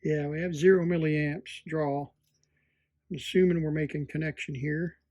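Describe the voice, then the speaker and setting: A man at an indoor workbench gives an unscripted, hands-on repair demonstration, thinking aloud in a halting way as he works. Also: fairly high pitch, fairly even intonation, darkish tone, dry recording. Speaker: electronics repair hobbyist presenting.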